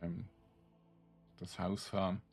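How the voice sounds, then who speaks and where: A man's voice: low-pitched, very animated, slow, creaky, darkish tone, slightly rough, faint music behind. A man streaming a game, commenting informally to himself and his viewers and reading out game text. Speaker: gaming streamer, let's player. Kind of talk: casual game commentary with some read-aloud game text.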